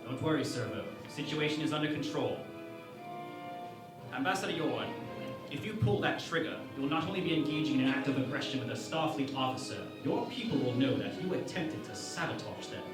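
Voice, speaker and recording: A man playing a composed, pompous starship captain in a parody sci-fi radio play, speaking in a measured, formal way. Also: medium pitch, expressive, lightly acted. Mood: cool, commanding. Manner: measured, formal, mock-dignified. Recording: very echoey, distant mic, music behind.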